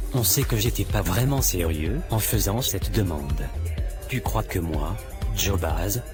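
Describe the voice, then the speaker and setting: A man playing a cocky, macho young man in a cartoon, boastful and dismissive in manner. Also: sits low. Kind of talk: cartoon voice acting. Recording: music behind the voice.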